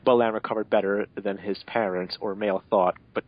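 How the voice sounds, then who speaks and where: A man's voice: on the low side, fairly even intonation, fairly quick. Man in dull lo-fi audio, sarcastic reader. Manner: exasperated, sarcastic asides. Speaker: amateur fan fiction reader and commentator. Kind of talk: mocking dramatic reading with casual commentary.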